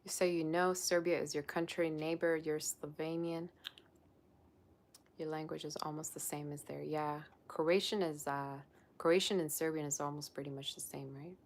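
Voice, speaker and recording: A woman's voice: sits low, steady tone, moderate pace. A young woman, a psychic tarot reader, chatting casually on a livestream and reading viewer comments aloud. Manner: chatty and rambling, with many "like" fillers.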